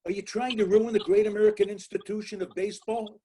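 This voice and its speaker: Man playing team owner, high mellow voice, indignant, demanding, table read drama. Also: level tone, quick pace, husky. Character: indignant, pushy male baseball club owner. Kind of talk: dramatic script reading.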